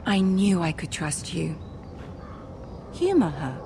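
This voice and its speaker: An actress playing a poised young noble lady in a game drama, gentle and persuasive, with a measured, diplomatic manner. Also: fairly low pitch, big pitch swings, background noise, exaggerated voice. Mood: reassuring.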